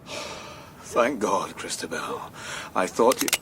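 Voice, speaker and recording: An actor playing a menacing, depraved and volatile Victorian villain in a gothic radio drama. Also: male voice, mid-range voice, animated, normal speed, very noisy, lightly acted. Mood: pleading.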